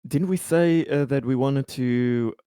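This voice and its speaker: A man's voice: medium pitch, takes it slowly, dark tone, clear, resonant tone, no room sound, studio quality. A man chatting casually as a player in a tabletop roleplaying game.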